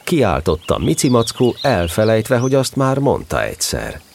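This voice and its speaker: A man's voice: on the low side, huge pitch swings, vocal fry, darkish tone, slight background noise. A man narrating a scripted children's story as part of an audio drama.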